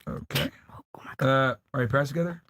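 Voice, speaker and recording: A man's voice: mid-range voice, fairly quick, noisy background. A man hosting a podcast, putting casual, blunt questions to guests in slangy speech. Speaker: podcast host.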